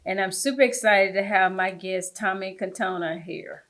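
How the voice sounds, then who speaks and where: A woman's voice: medium pitch, moderate pace, fairly bright tone. A woman hosting her own podcast, chatty and upbeat as she asks her guest questions. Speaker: podcast host. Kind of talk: casual interview.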